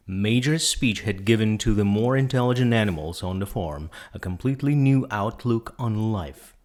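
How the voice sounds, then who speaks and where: Man, lowish voice, audiobook narrator, dramatic scripted storytelling, measured theatrical phrasing. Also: darkish tone.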